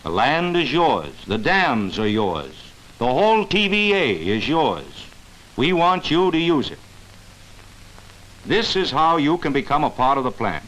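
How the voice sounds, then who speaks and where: A man narrating a mid-century educational film in an earnest, dramatic way with deliberate emphasis. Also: mid-range voice, big pitch swings, a bit bright, poor audio. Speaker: documentary narrator.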